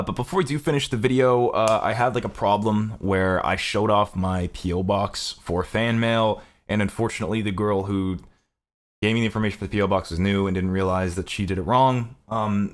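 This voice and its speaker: A man from Canada talking casually and earnestly to his viewers as a gaming content creator, rambling and swearing freely. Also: on the low side. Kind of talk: casual, unscripted talk to viewers.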